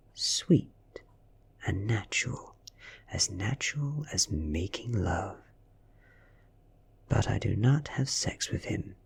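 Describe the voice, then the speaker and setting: A British man reading a story in a soft, hushed, half-whispered ASMR style, soothing and breathy. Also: lowish voice. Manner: slow, soothing, hushed delivery. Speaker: audiobook reader.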